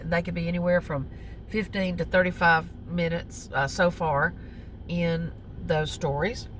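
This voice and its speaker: Southern woman vlogger, lowish voice, loose rambling chat, faint outdoor hum and cars behind. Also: a bit bright. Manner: rambling, chatty, many ums and self-corrections. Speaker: crochet vlogger. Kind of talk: casual chat to camera. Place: outdoors on a lunch break.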